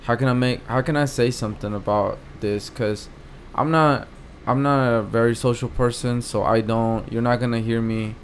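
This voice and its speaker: A man's voice: medium pitch, fairly even intonation, normal speed, few pauses, slight background noise. A man in his thirties from Mexico with a Mexican accent, a guest artist talking hesitantly in a casual interview, with many fillers and restarts.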